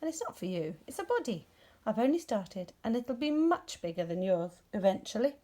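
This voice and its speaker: A woman's voice: on the high side, very animated, normal speed, warm tone. A woman voicing a grumpy, proud male rabbit in a children's story, explaining himself with indignant bluster. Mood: irritated.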